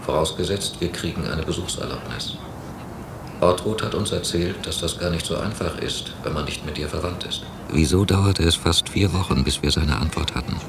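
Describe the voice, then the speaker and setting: A low male voice plays a doubtful, brooding man in a radio play. He reflects in a measured way. The old cassette copy carries clear hiss and noise. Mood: measured, gentle. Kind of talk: radio drama inner monologue and dialogue.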